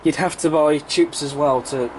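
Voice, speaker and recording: A British man reviews casually to camera in a somewhat high voice, made croaky and congested by a cold. He explains things in a rambling, informal way. There is faint noise behind him. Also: fairly even intonation, moderate pace, rough recording. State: has a cold, sore throat. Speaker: model railway video reviewer.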